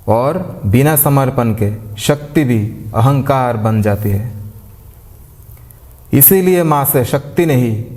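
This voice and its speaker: A man leading a devotional guided meditation, giving gentle, unhurried instructions in short phrases with pauses between them. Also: medium pitch. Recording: long reverb, like a large hall.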